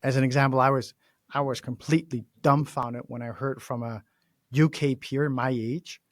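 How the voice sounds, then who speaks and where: A man's voice: mid-range voice, moderate pace, dry recording, studio quality. Danish man hosting a chatty interview. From Denmark. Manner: chatty, animated, laughs often, frequent restarts. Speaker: podcast host. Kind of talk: casual interview conversation.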